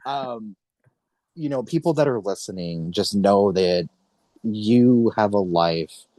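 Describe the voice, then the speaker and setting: A young adult man from the United States hosting an interview podcast, speaking earnestly with emphatic, deliberate phrasing. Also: lowish voice, expressive, mellow, no echo, poor audio.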